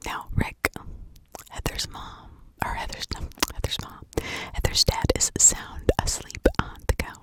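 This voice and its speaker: A woman whispering in a breathy, unvoiced ASMR style, telling a story informally with conversational asides. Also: a little slow. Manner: conversational, with rhetorical asides and check-ins. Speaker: ASMR true crime storyteller.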